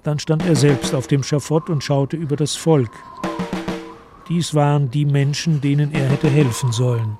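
A man narrating a literary radio play from a script in a measured, solemn manner. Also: fairly high pitch, steady tone, music in the background.

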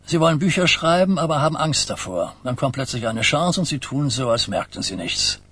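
An actor playing an older Mafia boss in a radio drama, reflective and quietly commanding, with a rough voice and deliberate questions. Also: male voice, a little high, lively intonation. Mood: insistent. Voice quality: husky, rough.

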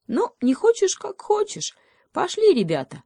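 A woman's voice: very high voice, wildly swooping pitch, unhurried, mellow, dry recording, clean studio sound, voice pushed up, heavily acted. A woman voicing a sly male hedgehog in a children's story, speaking with mock gravity and teasing deliberation. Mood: commanding.

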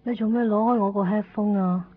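A woman's voice: mid-range voice, steady tone, fairly quick, slight background noise, natural voice. Actress as a drowsy, plaintive young woman patient, tinny radio. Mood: drowsy pleading. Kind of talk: radio drama acting with first-person monologue. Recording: tinny band-limited 1990s radio, faint music.

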